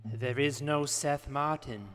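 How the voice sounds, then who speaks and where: Guy doing serene bossy meditation guru lady, super slow n solemn, improv comedy, echoey room w some music. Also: medium pitch, steady tone, fairly bright tone. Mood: solemn, hypnotic. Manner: slow, solemn, hypnotic, commanding pauses. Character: Juliet, serene, stubborn new-age guided-meditation guru woman.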